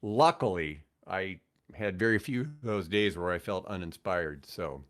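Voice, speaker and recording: A man's voice: low-pitched, lively intonation, unhurried, a bit bright. A man in his sixties with an American accent, chatting and telling stories casually as a podcast guest, with an opinionated manner. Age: 60s. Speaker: podcast guest, radio host. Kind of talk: casual chat, rambling storytelling.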